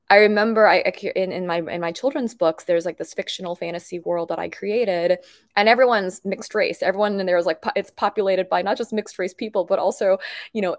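A woman from Thailand speaking as an interview guest, telling personal stories in a chatty, expressive, conversational way. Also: medium pitch, dry recording, studio quality. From Thailand, grew up in Bangkok.

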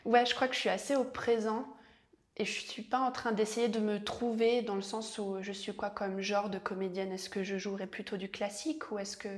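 Young actress, chatty and reflective. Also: female voice, mid-range voice, little pitch movement, normal speed. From French-speaking Switzerland. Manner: reflective and chatty, with frequent restarts and laughs. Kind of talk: interview answers.

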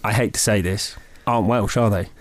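A man's voice: on the low side, steady tone, fairly quick. A man with a Devon accent hosting a casual podcast, teasing and jokey with his guest. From Devon, England. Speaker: podcast host.

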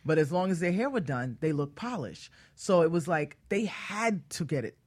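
A woman with a notably low voice for her sex talks as an expert guest on a panel. Her style is casual and colloquial, and she makes her points emphatically. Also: sing-song, normal speed, darkish tone. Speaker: hair care expert guest.

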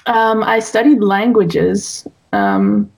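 A woman's voice: medium pitch, big pitch swings, slow pace, darkish tone. Chatty young woman with many fillers. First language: Dutch. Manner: talkative, full of fillers and restarts. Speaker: guest, wedding and family photographer. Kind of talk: casual interview conversation.

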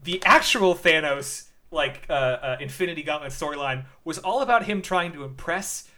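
A man's voice: a little high, expressive, moderate pace, bright, forceful tone. A man co-hosting a podcast, chatting casually, hesitating often with restarts and fillers. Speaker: podcast co-host. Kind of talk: casual chat.

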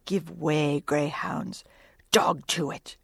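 A woman's voice: sits low, fairly even intonation, slow, creaky, breathy edge, dry recording, studio quality, voice pushed down, exaggerated voice. Woman as a stern, intense whaling first mate. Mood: intense whisper. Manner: commanding, hushed intense whispering. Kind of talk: audiobook character voice.

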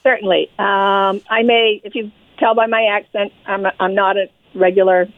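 A woman's voice: mid-range voice, fairly even intonation, moderate pace, dry recording. An older woman with a Maine accent, a nonprofit president speaking as a guest in a casual radio interview, friendly and given to repeating words. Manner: friendly, repeats words and says "yep" often. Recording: tinny phone line, dry.

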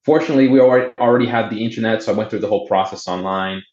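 Young male guest, Portuguese first language, lowish voice, halting casual storyteller, roomy lo-fi audio. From Boston area, United States. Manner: relaxed and halting, with many fillers and restarts. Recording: roomy, dull remote audio.

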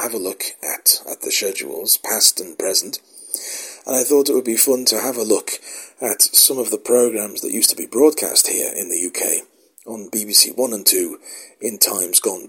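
A man with a hoarse voice from a cold, hosting a casual, meandering monologue full of fillers and restarts. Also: on the low side, fairly bright tone. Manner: meandering, self-deprecating, many fillers and restarts. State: hoarse, losing his voice with a cold. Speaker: podcast host.

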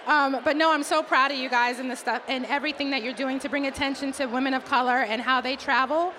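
A woman's voice: high voice, steady tone, fast talker, pushed and bright. A woman hosting an event, giving encouraging thank-you remarks. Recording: noisy background hiss.

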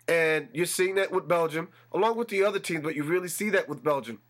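A man hosting a solo sports podcast, a little congested and nasal, building excitement as he talks. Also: fairly high pitch, a little fast. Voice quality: slightly nasal. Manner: animated, builds excitement with repetition. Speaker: podcast host. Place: room with a fan running.

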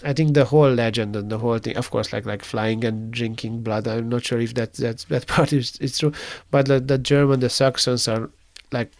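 A man from Transylvania, telling a story in a halting way, with many fillers and restarts. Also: mid-range voice, steady tone, no room sound. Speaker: interviewee. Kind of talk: casual conversational storytelling.

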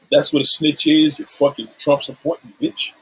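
Very husky old man ranting profanely. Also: on the high side, flat delivery, moderate pace, fairly bright tone, poor audio. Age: 70s. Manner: combative, profane, threatening. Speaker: livestreamer. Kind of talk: profane livestream rant.

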